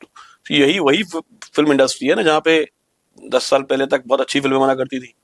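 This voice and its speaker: Indian man, low register, gravelly fry, dry close sound, emphatic opinionated conversation, code-switching. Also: fairly quick, no echo. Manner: emphatic, uses rhetorical questions, code-switches into English. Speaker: podcast host.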